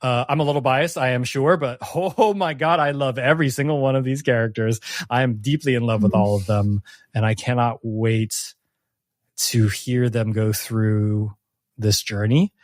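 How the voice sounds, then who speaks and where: A man's voice: mid-range voice, steady tone, moderate pace, dry recording, studio quality. A man hosting a casual post-game chat as game master, speaking in an earnest, reflective way. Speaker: game master and host of a tabletop campaign podcast.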